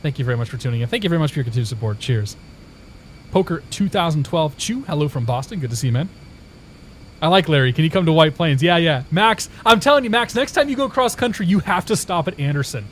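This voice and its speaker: A man's voice: a little high, lively intonation, quick pace, slight background noise. A young man from Indiana, a live streamer, chatting casually with viewers and telling a story in a rambling way, with many restarts.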